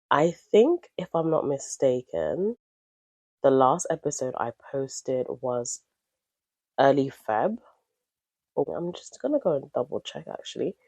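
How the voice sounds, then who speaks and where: A woman's voice: extremely low pitch, darkish tone, dry recording. A woman in her thirties hosting her own podcast, talking in a chatty, candid and upbeat way while nasal from a cold. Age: 30s. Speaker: podcast host. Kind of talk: casual solo podcast chat.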